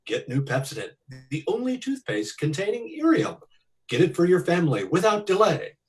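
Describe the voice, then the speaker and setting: A man's voice: high-pitched, very animated, moderate pace. A man announcing in 1940s radio style, reading sponsor copy with an emphatic sales delivery.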